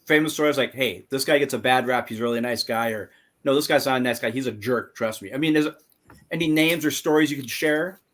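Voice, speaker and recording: A male podcast host asks his guest loose, casual questions. His middle-pitched voice is relaxed and sprinkled with fillers. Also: quick pace.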